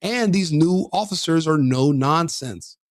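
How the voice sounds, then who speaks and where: A man with a somewhat high voice sneers and jeers through crude reaction commentary, laughing as he goes. The sound is dry and close, with no room around him. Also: animated, measured pace, no room sound.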